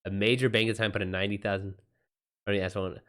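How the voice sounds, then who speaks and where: A man's voice: fairly low pitch, level tone, racing, a bit bright. A man hosting a livestream in a casual, informal style, reacting to chat comments with exclamations and swearing. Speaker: streamer, author hosting a live chat.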